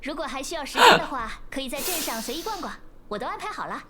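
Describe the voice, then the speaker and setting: High, expressive anime game heroine. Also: female voice, fast talker, heavily acted. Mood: polite, bright. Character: expressive young women characters of a fantasy game. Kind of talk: Chinese anime game voice acting.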